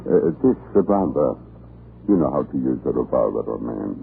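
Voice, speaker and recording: An actor playing a cruel, scheming Russian prison island commandant in a radio drama, pompous and insinuating in his deliberate delivery. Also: rumbling low, very animated, creaky, exaggerated voice. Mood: insinuating menace. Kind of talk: 1940s radio drama acting. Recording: muffled, noisy old radio recording.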